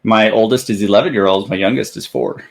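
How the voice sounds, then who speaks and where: A man talking calmly as a guest in a casual interview, in a fairly flat way, with many "like"s. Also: on the low side, quick pace, vocal fry. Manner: calm, says he has a monotone voice, lots of "like". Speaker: guest, book reviewer. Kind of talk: casual chat.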